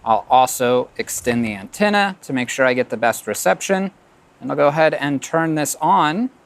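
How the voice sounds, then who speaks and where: A man's voice: mid-range voice, lively intonation, normal speed, gravelly, slight background noise. Plain-spoken man reviewing a product. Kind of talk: casual product review demonstration.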